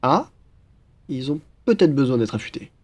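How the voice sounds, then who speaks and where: A man's voice: mid-range voice, big pitch swings, normal speed, a little mellow. A young man playing an upbeat, cheeky student roommate in a French roleplay, with a playful, slangy, enthusiastic manner. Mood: casual, friendly. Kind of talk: casual French roleplay acting.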